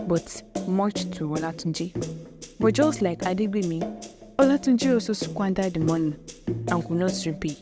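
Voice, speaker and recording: A woman narrating a folktale in a dramatic, vivid storytelling style. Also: on the low side. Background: music.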